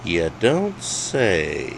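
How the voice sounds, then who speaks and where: A man's voice: sits low, wildly swooping pitch, extremely slow, creaky, slight background noise, exaggerated voice, poor audio. A man voicing a brooding, sardonic reporter in a story, musing and questioning in a dry manner. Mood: dry, curious. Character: brooding, sardonic, curious male investigative reporter.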